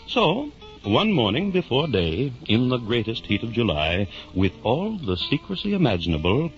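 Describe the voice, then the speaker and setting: A man narrating a literary adventure in the theatrical, measured style of 1950s radio drama. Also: medium pitch, wildly swooping pitch. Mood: dramatic, measured. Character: storyteller narrating a knight's adventures. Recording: muffled 1950s radio recording. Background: guitar music.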